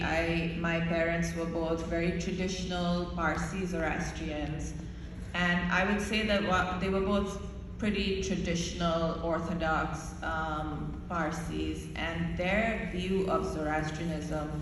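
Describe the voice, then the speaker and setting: A woman from Pakistan giving a reflective personal talk to a conference audience, pausing often with ums and restarts. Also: on the low side. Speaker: congress panel speaker. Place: large conference hall. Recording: echoey hall, distant, noisy.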